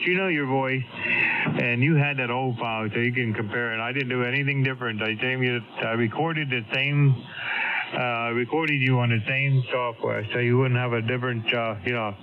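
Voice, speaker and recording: A man on a ham radio link, chatting casually and rambling with lots of fillers and repeats. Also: mid-range voice. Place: home radio shack. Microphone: boom mic on an arm, keyed by foot pedal. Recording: tinny noisy radio signal.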